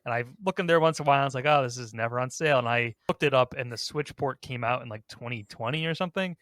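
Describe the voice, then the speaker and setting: A man's voice: medium pitch, quick pace, a bit bright, no room sound, studio quality. A man hosting a casual panel discussion, talking in an informal, conversational manner. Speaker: host of a ranking podcast.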